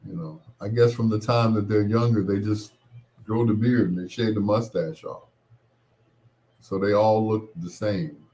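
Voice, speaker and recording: A man hosting a casual call-in radio show, talking in a wry, exasperated, drawn-out manner. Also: lowish voice, dark tone. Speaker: radio talk show host.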